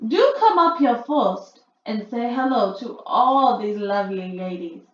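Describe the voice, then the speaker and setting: A woman voicing a cruel female witch leader who swings between sugary coaxing and shrill commands. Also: sing-song, takes it slowly, fairly bright tone, exaggerated voice. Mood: syrupy. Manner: theatrical, sugary then shrill. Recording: dull echoey home recording.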